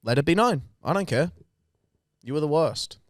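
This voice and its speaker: A man's voice: on the high side, animated, normal speed, no room sound, studio quality. A man with an Australian accent hosting a podcast in casual banter, speaking bluntly with plenty of swearing and crude jokes. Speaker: podcast host.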